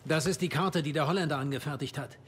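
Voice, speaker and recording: An actor playing a weary, earnest army squad leader in a dubbed war game, shifting between command and brooding monologue. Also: male voice, on the high side, quick pace, close to an everyday voice. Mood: firm, briefing. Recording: faint background noise.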